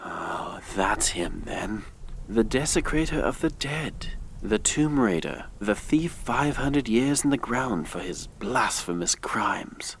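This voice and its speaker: Man playing an animated, gravelly grave robber. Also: slow pace, a little hiss or hum, heavily acted, poor audio. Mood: sardonic. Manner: expressive, swinging from muttered asides to startled high exclamations. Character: excitable, skeptical male grave robber, sardonic and jumpy. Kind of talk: audio drama acting.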